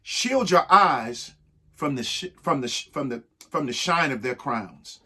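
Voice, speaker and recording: A man reading a children's picture book aloud in a theatrical, emphatic way, with dramatic pauses and asides to young listeners. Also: fairly high pitch, lively intonation, bright, forceful tone, husky.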